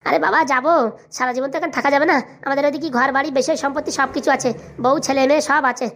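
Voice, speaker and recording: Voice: high-pitched, steady tone, very fast, fairly bright tone, slight background noise. A performer voicing a grumbling, teasing rustic married man in a comedy cartoon, with blustering banter and a rural Bengali dialect.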